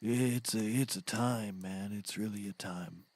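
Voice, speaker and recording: A man's voice: lowish voice, unhurried, a little mellow, no room sound. A man playing a foggy, drugged, mystical fellow in a scripted audio comedy, hesitant and rambling in a dreamy way. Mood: dazed, rambling.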